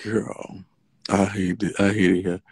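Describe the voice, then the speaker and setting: A man's voice: sits low, level tone, unhurried, darkish tone, slightly rough, no room sound, studio quality. A man hosting a late-night phone livestream, sassy and swearing. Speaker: livestream host. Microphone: phone front camera.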